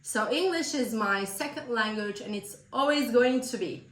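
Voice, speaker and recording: A woman from Turkey with a Turkish accent, a business coach giving earnest, emphatic motivational advice straight to the viewer, slightly hoarse from a cold. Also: a little high, normal speed, a bit bright, reverberant, rough recording. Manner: earnest, emphatic, direct address.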